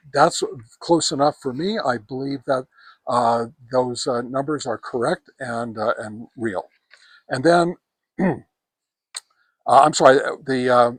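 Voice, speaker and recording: A man giving a casual product review outdoors on a backyard deck, talking through test results in a halting, folksy, conversational way. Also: mid-range voice, dry recording. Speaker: host of radio gear review channel.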